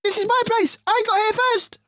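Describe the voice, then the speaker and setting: A shrill little storybook rat, crabby and territorial. The voice sits extremely high, snapping and shrieking out each phrase. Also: male voice, steady tone, normal speed, a bit bright, no echo, clean studio sound. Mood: furious, shrieking. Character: small, sharp-faced, bad-tempered rat, shrill and territorial.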